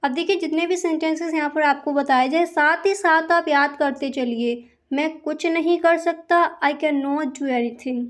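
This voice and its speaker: An Indian woman teaching spoken English, slowly repeating each example sentence. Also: high-pitched. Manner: slow, deliberate repetition of example sentences. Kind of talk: slow spoken-English lesson.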